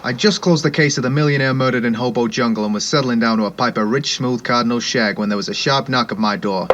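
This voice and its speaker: A man's voice: mid-range voice, a bit bright, muffled recording, a little hiss or hum, close to an everyday voice. A man playing a cocky, hardboiled private eye in a 1950s radio detective parody, swaggering and slangy with wry delivery. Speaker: narrator and lead detective.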